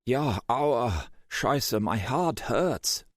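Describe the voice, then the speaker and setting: A man's voice: mid-range voice, animated, drawn-out words, no room sound, clean studio sound, pitched above the performer's own voice. A man voicing a young German hacker with a German accent, slurring and groggy.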